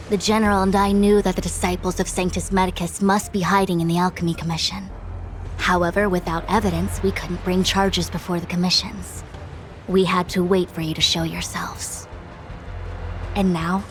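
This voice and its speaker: A woman playing a grave, composed diviner official in a video game, speaking in a measured, formal, authoritative way. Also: lowish voice, level tone, a little hiss or hum, natural voice. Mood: stern, accusing. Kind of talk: scripted video game cutscene acting.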